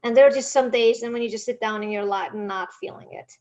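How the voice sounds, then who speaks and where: A woman's voice: fairly high pitch, fast talker, darkish tone. A woman with an Icelandic accent, a native Icelandic speaker, chatting as a podcast guest in a rambling, self-mocking way with lots of laughter over a video call. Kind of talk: casual interview chat. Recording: dull lo-fi call audio.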